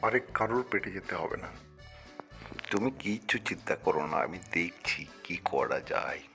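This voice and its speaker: Placating cartoon husband played by a man: low, forceful voice, dismissive reassurance, light music behind. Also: very animated, normal speed, gravelly, exaggerated voice. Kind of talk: Bengali children's folktale cartoon acting.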